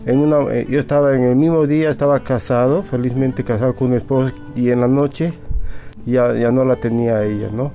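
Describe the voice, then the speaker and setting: Mellow middle-aged Bolivian man. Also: mid-range voice, steady tone, faint music behind. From Sucre, Bolivia. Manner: halting, adds tag questions. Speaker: interview guest. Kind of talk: personal testimony, conversational.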